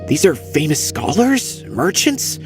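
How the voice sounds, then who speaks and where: A man's voice: fairly high pitch, sing-song, slow pace, over music, heavily acted. A man voicing an earnest, loyal young officer who gets flustered easily, in video game dialogue. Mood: grave.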